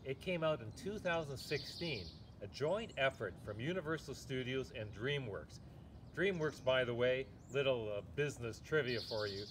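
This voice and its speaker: A man's voice: medium pitch, expressive, moderate pace. An older man hosting a casual movie review to camera outdoors in a sunny garden, chatty, with many asides. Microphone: camera at a distance. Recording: outdoor noise, birds and traffic behind.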